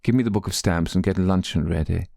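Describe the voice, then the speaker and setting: A man's voice: sits low, fast talker, vocal fry, warm tone, no room sound, clean studio sound. A man voicing a cold, domineering mistress of the house in an audiobook, curt and commanding. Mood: suspicious, curt. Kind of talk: audiobook character voice.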